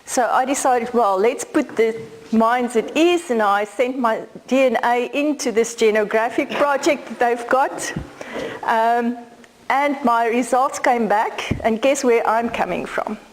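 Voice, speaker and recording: A woman's voice: a little high, a little slow, a little hiss or hum, poor audio. A middle-aged South African woman whose first language is Afrikaans, giving a law lecture in a conversational, explaining way with some fillers. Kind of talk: academic lecture.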